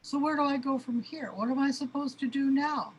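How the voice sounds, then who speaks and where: A high, anguished voice from a woman actor plays an overwhelmed cosmic being in a radio drama. The being panics and questions everything around it. The recording carries noticeable background noise. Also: steady tone, brisk, natural voice, low-quality recording. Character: overwhelmed, panicky cosmic being trapped in its own space body. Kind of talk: dramatic radio play acting.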